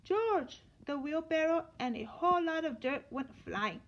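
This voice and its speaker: A woman teacher reading a children's story aloud in an expressive storytelling manner. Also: high voice, normal speed. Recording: dull lo-fi sound with light noise.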